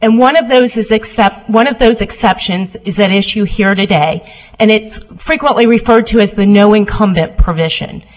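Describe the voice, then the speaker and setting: A woman lawyer giving a formal oral argument before appeals judges, loud and steady as she answers questions. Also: medium pitch, moderate pace, highs cut off. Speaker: appellate lawyer.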